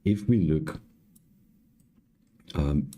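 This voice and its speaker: A man with a raspy, slightly hoarse voice gives an unscripted tutorial, explaining step by step in a halting way and repeating his points. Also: rumbling low, warm tone, lo-fi sound. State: hoarse, clearing throat. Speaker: trading live-stream host and instructor.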